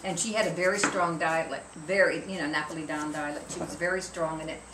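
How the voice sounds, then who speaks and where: Woman in her sixties, chatty storyteller, echoey room, distant mic, loud background noise. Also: deep voice, fast. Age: 60s. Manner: chatty storyteller, animated when quoting. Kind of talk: casual chat.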